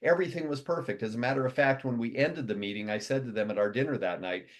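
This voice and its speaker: A man from the United States gives a motivational talk to his company's members. He talks quickly and rambles, restarting sentences and reeling off long lists. His pitch sits in the middle range for a man. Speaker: company CEO addressing members.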